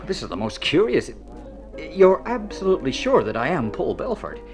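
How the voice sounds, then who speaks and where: An actor in a vintage radio drama playing a courteous, confused man with memory loss who speaks in a halting, stammering way. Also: a little high, wildly swooping pitch, over music. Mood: puzzled. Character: bewildered, courteous amnesiac man, hesitant and evasive. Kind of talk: golden age radio drama acting.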